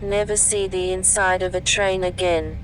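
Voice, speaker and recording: A woman's voice: lowish voice, flat delivery, moderate pace, slight background noise, close to an everyday voice, low-quality recording. A woman playing a cool, logical and superior machine intelligence, detached and precise, in an audio science fiction story. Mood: cool, official.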